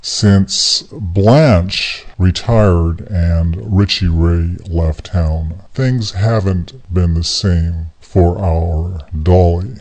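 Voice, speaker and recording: Guy narrating a radio play, deep voice, slow measured storytelling. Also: fairly even intonation, darkish tone.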